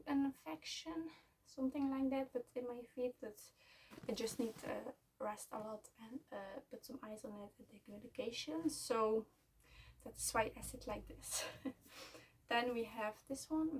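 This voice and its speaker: A young woman from Belgium, a native Dutch speaker, chatting casually at home about books she is selling, pausing often with fillers and restarts. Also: a little high, darkish tone. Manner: halting, many fillers and restarts. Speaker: book vlogger. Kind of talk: casual book unhaul chat. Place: at home, seated. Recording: somewhat distant mic, faint room noise.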